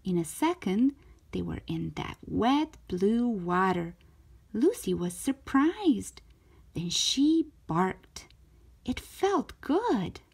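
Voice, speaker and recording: A woman narrating a children's story aloud in an expressive, careful storytelling manner, as a storytime host. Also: mid-range voice, slow pace, creaky, breathy edge. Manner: animated, careful storytelling delivery.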